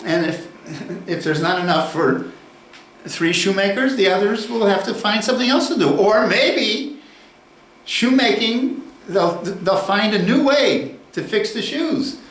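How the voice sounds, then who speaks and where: A man giving a university lecture, deliberate and unhurried, posing questions and then answering them. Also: sits high, a bit bright. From United States. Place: lecture room. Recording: echoey room, distant mic, faint ambience.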